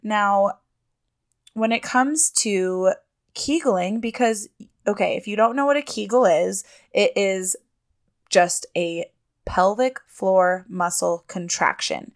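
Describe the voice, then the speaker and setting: A woman's voice: mid-range voice, takes it slowly. Woman in her 30s, podcast host, chatty and emphatic, slightly congested. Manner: chatty, emphatic, laughs often. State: sniffly and congested. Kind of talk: casual podcast talk.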